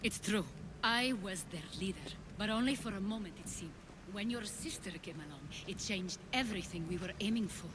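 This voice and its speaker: An actress playing a poised, ambitious female former cult leader in a video game drama, speaking in a measured, persuasive way. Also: medium pitch, expressive, noisy background, lightly acted. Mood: calm, confessional.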